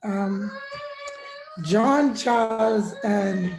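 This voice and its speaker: A hoarse woman giving an earnest, halting testimonial as a guest on an online webinar call. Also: mid-range voice, reverberant, noisy background. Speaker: guest caller. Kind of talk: casual testimonial. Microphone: video call.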